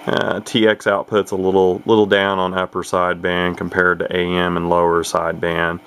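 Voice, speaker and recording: Male hobbyist, very low register, matter-of-fact and rambling demo talk over clearly audible noise. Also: completely flat delivery, moderate pace, a bit bright. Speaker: radio hobbyist demonstrating a repaired CB radio. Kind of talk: casual unscripted demonstration talk.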